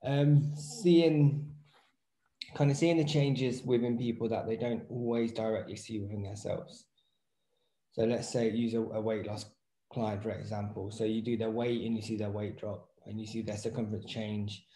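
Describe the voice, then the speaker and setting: Male, 30s, interview guest and fitness trainer. Low, mellow voice, reflective explanatory delivery. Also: fairly even intonation, moderate pace. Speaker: interview guest, personal trainer and movement therapist. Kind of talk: casual interview talk. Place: small indoor room at home, video call. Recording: roomy echo on voice.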